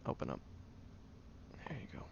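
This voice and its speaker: A man voicing a gruff, tired young tsundere man who secretly cares, halting and grumpy, in an intimate audio roleplay. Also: natural voice. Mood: exasperated, reluctant. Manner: halting, sighing, grumpy and flustered. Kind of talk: intimate audio roleplay, acted monologue to the listener. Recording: dull lo-fi, clear background noise.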